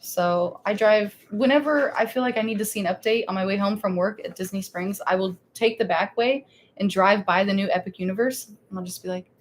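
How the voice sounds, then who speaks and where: A woman's voice: medium pitch, a little fast, a little hiss or hum. A woman co-hosting a casual theme park live stream, chatty and laughing, rambling with restarts.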